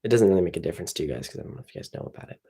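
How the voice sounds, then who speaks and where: A man's voice: deep voice, very fast, breathy edge. A young man chatting casually on a livestream, tired and rambling with lots of fillers. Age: young adult. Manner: relaxed, rambling, many fillers. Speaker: streamer.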